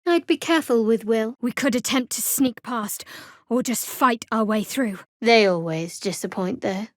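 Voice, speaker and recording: An actress playing a guarded, wry young female cleric in a fantasy video game, speaking in a cool, dry, measured way. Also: on the high side, no echo, lightly acted. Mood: wry, composed. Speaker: video game companion character. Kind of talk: scripted fantasy game voice acting.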